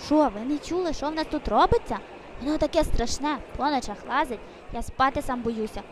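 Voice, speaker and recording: A woman's voice: high voice, a little fast, close to an everyday voice. A woman voicing a frightened, gossipy young boy in a cartoon, telling tales breathlessly with a western Ukrainian accent. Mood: fearful, gossiping. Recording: outdoor street noise.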